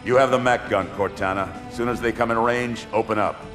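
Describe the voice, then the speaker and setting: A man playing a deep-voiced stern fleet admiral. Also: fairly even intonation, brisk, over music, close to an everyday voice. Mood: commanding. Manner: commanding, clipped military orders. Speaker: fleet commander. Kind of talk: cinematic game cutscene acting. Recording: roomy mix with loud score.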